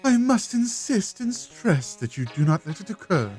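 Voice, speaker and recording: A woman's voice: medium pitch, very animated, moderate pace, darkish tone, soft background music, close to an everyday voice. A woman voicing a stern, haughty middle-aged spirit medium from a video game, prim and stately with archaic politeness. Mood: solemn.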